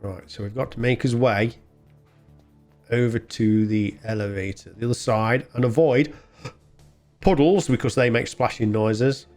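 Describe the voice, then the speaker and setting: A man's voice: medium pitch, unhurried, darkish tone. A man hosting a gaming video, chatting casually and thinking aloud as he plays. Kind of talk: casual game play commentary. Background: game music.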